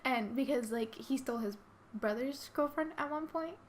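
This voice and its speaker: A woman's voice: sits high, normal speed. A woman in her early twenties with a childlike-sounding voice, hosting a podcast and gossiping in a giggly way with lots of "like". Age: 20s. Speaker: podcast host. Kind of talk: casual chat.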